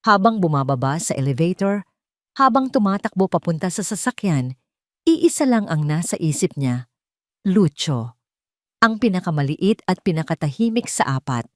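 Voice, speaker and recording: Low-voiced woman narrating drama. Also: animated, normal speed, no echo, clean studio sound. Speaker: storyteller narrator. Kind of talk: scripted dramatic story narration.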